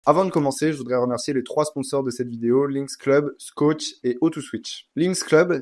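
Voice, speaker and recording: A man hosting an interview series on his channel, giving a brisk promotional intro and sponsor reads. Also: medium pitch, darkish tone. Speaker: YouTube host.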